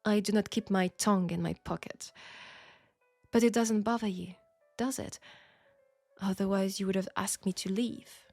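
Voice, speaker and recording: A woman playing a candid, proud French seamstress in a steampunk audio drama, speaking with a French accent in a formal, deliberate way. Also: medium pitch, steady tone, a little mellow, no room sound, faint music behind, lightly acted. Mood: wry, musing. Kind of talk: intimate scripted audio roleplay.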